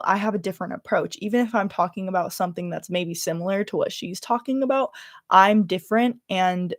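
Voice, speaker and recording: A woman's voice: fairly low pitch, no room sound, studio quality. A young adult woman with a Californian, slightly Valley Girl accent, chatting casually on a livestream in a rambling, self-interrupting way peppered with filler words. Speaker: livestream host and YouTuber.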